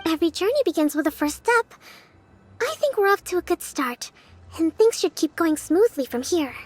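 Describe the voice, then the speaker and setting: An actress playing a calm, encouraging young female strategist in a video game, gentle, measured and reassuring. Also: very high voice. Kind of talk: video game cutscene acting.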